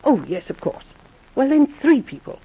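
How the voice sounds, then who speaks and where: An actress playing an earnest young woman secret agent in a 1940s radio drama, talking in a brisk, slightly prim way. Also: sits high, big pitch swings. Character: Miss Guest, sharp-minded young woman secret agent. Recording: dull lo-fi old radio transcription.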